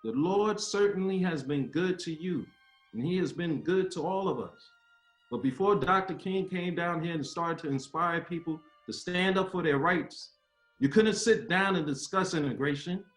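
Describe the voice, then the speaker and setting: An actor as an earnest civil rights orator, echoey room. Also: male voice, high voice, a bit bright. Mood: rousing. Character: Jackie Robinson, earnest baseball great, father and civil rights speaker. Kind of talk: dramatic table read, speeches and narration. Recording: roomy echo with music behind. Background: music.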